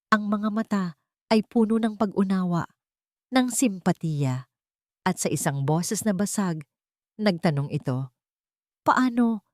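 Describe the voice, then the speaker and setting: A woman's voice: lowish voice, darkish tone, dry recording, studio quality. A woman reading a scripted dramatic story as an audiobook narrator.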